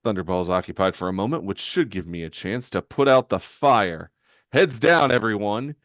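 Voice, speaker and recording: A man plays a comic-book scientist hero who leads his team, level-headed and decisive. He explains and commands with lively intonation in a dry recording. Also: low-pitched, fairly quick, no room sound. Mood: commanding. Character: male scientist superhero leader, brisk, commanding, level-headed.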